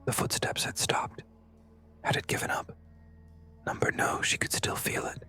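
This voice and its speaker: Whispering horror narrator over music. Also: normal speed. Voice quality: breathy unvoiced whisper. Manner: hushed, dramatic delivery in short suspenseful phrases.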